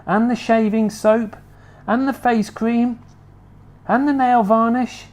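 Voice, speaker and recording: A reader voicing George, a calm and earnest boy in a storybook. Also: medium pitch, takes it slowly, pitched above the performer's own voice, natural voice.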